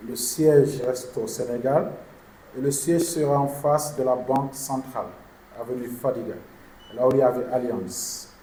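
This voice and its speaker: A man, an insurance executive, answering journalists' questions at a press conference in a measured, formal way. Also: mid-range voice, steady tone, warm tone. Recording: echoey room with background noise.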